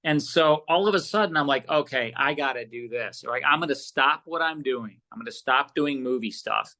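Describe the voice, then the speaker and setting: A man's voice: medium pitch, normal speed, bright, forceful tone. A middle-aged American man answering casually as an interview guest, earnest and emphatic, often repeating his phrases. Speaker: podcast guest, radio host and producer.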